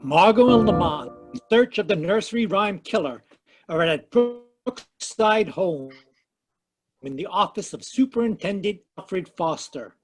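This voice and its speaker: A man's voice: sits high, lively intonation, a little slow, husky, faint music behind, rough recording. A man narrating as announcer of an old-time radio mystery.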